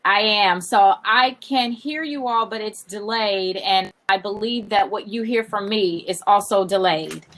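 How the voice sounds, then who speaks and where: A woman's voice: medium pitch, unhurried, few pauses, a bit bright. A woman English professor introducing herself on a video call, polite and articulate. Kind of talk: online workshop introduction.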